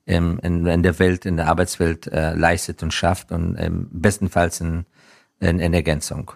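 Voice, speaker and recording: This is a man from Afghanistan with a low voice, answering as a guest in an interview. He talks in an earnest, reflective way. His sentences halt and restart as he searches for the next phrase. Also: little pitch movement, normal speed, vocal fry, darkish tone. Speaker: interview guest, business professional.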